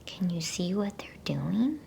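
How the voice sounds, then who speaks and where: Gentle little girl for a bedtime storybook, hushed whisper, slightly lifted pitch, performed by a woman. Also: very animated, takes it slowly, creaky, a little hiss or hum, exaggerated voice. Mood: intent.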